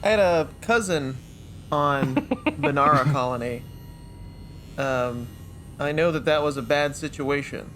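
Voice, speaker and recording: A man playing a blunt, suspicious starship officer questioning a prisoner in a tabletop game, probing and halting. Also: a little high, a bit bright, a little hiss or hum.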